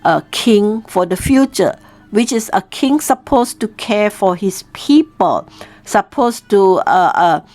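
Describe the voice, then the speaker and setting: A woman tells a story as an informal lesson, explaining didactically and often checking in with "okay?". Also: medium pitch. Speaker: storytelling teacher and host.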